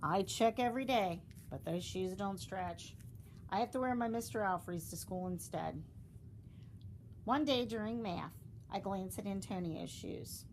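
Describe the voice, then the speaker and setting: A woman reading a children's storybook aloud, expressively, with dramatic emphasis and deliberate pauses. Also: medium pitch, slight background noise, rough recording. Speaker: storybook reader.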